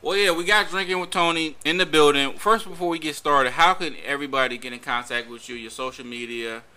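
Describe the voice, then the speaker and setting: Bright, smooth-voiced male host. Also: fairly high pitch, brisk. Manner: hyped, encouraging interviewer. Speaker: podcast host. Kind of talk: casual interview.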